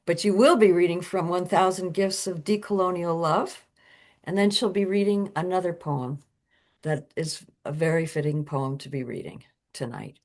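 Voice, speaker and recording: A woman's voice: on the low side, normal speed, darkish tone. A woman hosting an online reading, introducing the next reader in a chatty way with fillers and asides. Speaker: event host. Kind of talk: casual introduction.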